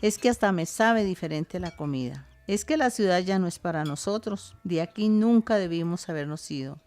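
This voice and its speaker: An older woman with a Colombian accent playing a warm, contented grandmother reminiscing with her family in an amateur radio play. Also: lowish voice, expressive, normal speed, lightly acted. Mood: grateful, content. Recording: music under the voice. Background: music.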